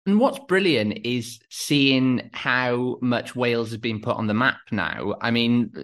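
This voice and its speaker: A man's voice: medium pitch, slow, fairly bright tone. A man interviewing a guest, asking questions in a chatty, conversational way.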